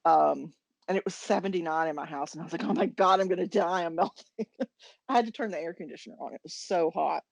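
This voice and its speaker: Casual American woman co-host, low-key and dry-sounding. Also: a little fast, gravelly, no room sound. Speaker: podcast co-host. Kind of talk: casual knitting podcast chat.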